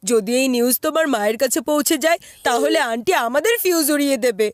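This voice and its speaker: A woman voicing a lively young child in a comic cartoon. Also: high voice, fast, a little hiss or hum, close to an everyday voice. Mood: urgent. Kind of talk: cartoon dubbing in Bengali comedy.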